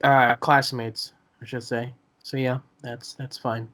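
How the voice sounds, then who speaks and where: A man's voice: medium pitch, flat delivery, takes it slowly, a bit bright. A man in his early twenties talks casually in a mid-range voice. He is a little hesitant and often repeats a word before carrying on. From South America. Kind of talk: casual chat.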